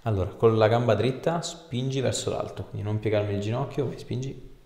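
A man's voice: fairly low pitch, brisk, darkish tone. A man in his thirties, a chiropractor giving informal, encouraging instructions and chatting casually with a patient. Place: small indoor clinic treatment room. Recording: echoey small treatment room.

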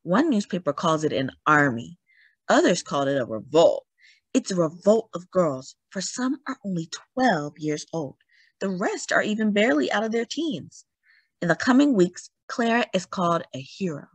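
A woman reading a picture book aloud in a dramatic, emphatic storytelling manner with deliberate pauses. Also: fairly low pitch, very animated, breathy edge, no echo. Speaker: children's read-aloud story host. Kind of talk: expressive picture book narration.

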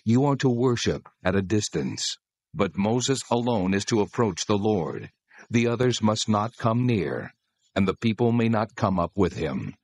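A commanding divine lawgiver, low voice, solemn n measured, close dry audio bible drama. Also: male voice, expressive, darkish tone, no room sound. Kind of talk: dramatized scripture reading.

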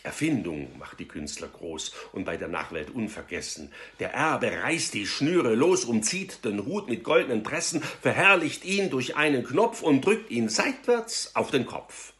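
A man in his fifties reading a verse fable aloud in a theatrical, deliberate storytelling style with emphatic pauses. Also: mid-range voice, big pitch swings, a bit bright. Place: small room at home, indoors.

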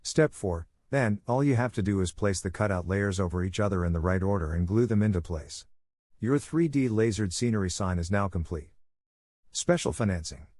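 A man narrating a scripted how-to voice-over in an even, promotional read. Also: low-pitched, moderate pace, dry recording, clean studio sound.

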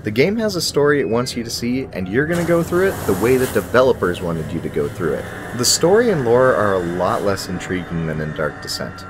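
A man's voice: fairly low pitch, very animated, brisk, hardly any pauses, noisy background. A man in his thirties giving a scripted video game review in a wry, casual, emphatic way. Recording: music behind the voice. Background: music.

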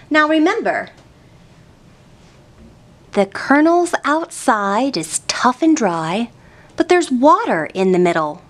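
A woman's voice: a little high, a bit bright. A young woman hosting a children's storytime, explaining things simply in a sing-song way to small children.

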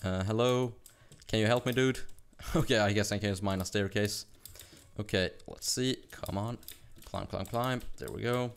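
A young man from Sweden with a Swedish accent, chatting fast and rambling with laughs while narrating his gameplay. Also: on the low side, vocal fry, clean tone. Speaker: gaming YouTuber. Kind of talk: casual gameplay commentary.

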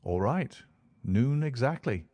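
A man's voice: medium pitch, expressive, slow pace, mellow, no echo, voice pushed up. A man plays an affable army doctor from a storybook, frank and full of curiosity. The voice is natural and inquisitive, recorded close with very little room sound. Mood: curious. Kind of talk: audiobook character dialogue.

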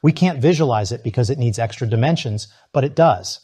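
A keen scientist, acted by a man, addressing his superiors. He is precise and explanatory in a middling pitch. It sounds like a dry studio audiobook. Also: brisk, dark tone, breathy edge, dry recording, close to an everyday voice. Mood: absorbed, explaining. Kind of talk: audiobook character acting.

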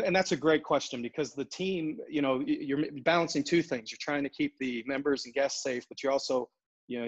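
A man in his forties, a golf operations executive, answering interview questions over a video call in a steady, businesslike way with frequent fillers and restarts. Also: mid-range voice, no echo. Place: home office in front of a brick wall. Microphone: earbuds on a video call. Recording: dull, dry video call audio.

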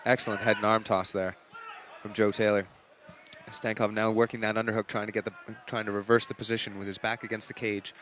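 A British man co-commentating an MMA bout, measured and analytical in his play-by-play. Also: fairly low pitch, level tone, fairly bright tone. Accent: British English. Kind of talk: live fight commentary.

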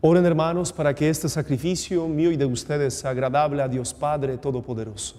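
Higher-voiced priest in a reverberant chapel. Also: male voice, steady tone, darkish tone. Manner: solemn, measured exhortation. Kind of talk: homily and liturgical prayer. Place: small indoor TV studio chapel. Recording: long reverberant hall echo.

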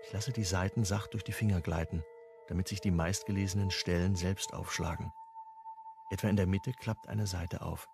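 An actor playing a reflective, wry amateur sleuth, narrating a radio crime drama in an understated, pensive way. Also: male voice, deep voice, steady tone, normal speed, creaky, music in the background. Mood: tense, observant.

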